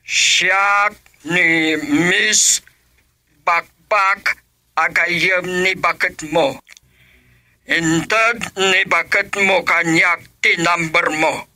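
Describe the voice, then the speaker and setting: A man acting a deliberate caller with a business pitch in a comedy radio drama, halting and drawn-out. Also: steady tone, sharp tone, exaggerated voice.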